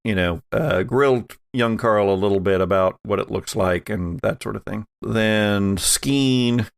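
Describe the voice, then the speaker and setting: A man's voice: lowish voice, a little slow, gravelly, clear, resonant tone, dry recording, clean studio sound. A middle-aged American man running a tabletop roleplaying game as game master.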